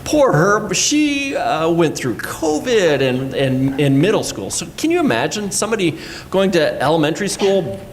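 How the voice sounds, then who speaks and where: A man's voice: fairly high pitch, sing-song, moderate pace. A man from Puerto Rico speaking on a live panel, conversational and full of fillers. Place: college event room. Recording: echoey room, mic at a distance, background noise.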